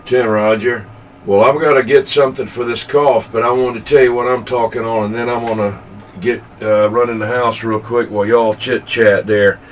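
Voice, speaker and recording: A man chatting casually over CB radio in a folksy, halting way, searching for his words. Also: mid-range voice, flat delivery. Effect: CB radio transmission. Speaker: CB radio operator. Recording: distant, slightly noisy CB radio transmission.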